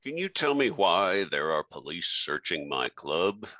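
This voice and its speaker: Man playing a menacing low-voiced crime boss. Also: very animated, sharp tone, no echo, dull audio, full character voice. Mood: stern, menacing. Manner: deliberate, threatening. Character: menacing crime boss, older uncle, club owner. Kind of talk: scripted table-read drama acting.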